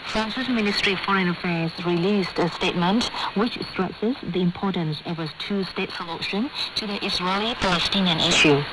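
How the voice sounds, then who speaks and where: Low-voiced woman on noisy shortwave radio. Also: normal speed, few pauses. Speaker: radio newsreader. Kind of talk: scripted news reading, formal.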